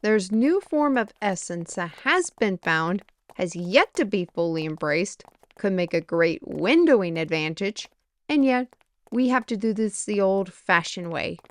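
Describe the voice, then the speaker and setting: Slow-talking, wry fantasy mage played by a woman. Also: medium pitch, lively intonation, no room sound, natural voice. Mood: wry, exasperated. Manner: theatrical, wry, musing aloud. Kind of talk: fantasy audio roleplay acting.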